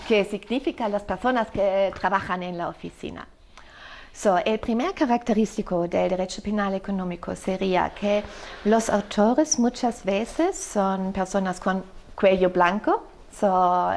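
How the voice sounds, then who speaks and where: Slow, halting German-accented woman. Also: medium pitch, a little mellow. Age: middle-aged. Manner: halting, frequent fillers and self-corrections, says "so". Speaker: law professor. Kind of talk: explanatory interview answer.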